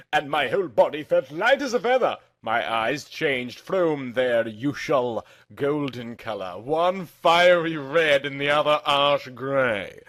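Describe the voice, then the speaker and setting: A man's voice: a little high, fairly bright tone. A man reading a first-person story aloud in a theatrical, emphatic narrating style.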